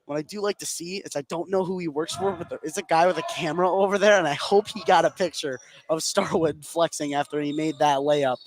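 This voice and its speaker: High-pitched male basketball play-by-play over gym noise. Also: fairly quick, few pauses. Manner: excitable on big plays, narrating continuously. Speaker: play-by-play commentator. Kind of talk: live high school basketball commentary. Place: indoor school gym, courtside. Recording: noisy live gymnasium ambience.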